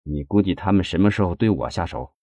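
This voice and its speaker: A man's voice: deep voice, expressive, fast, mellow, no echo. A man voicing a troubled, anxious husband who talks with heavy earnestness and command in an audiobook. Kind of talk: audiobook character dialogue.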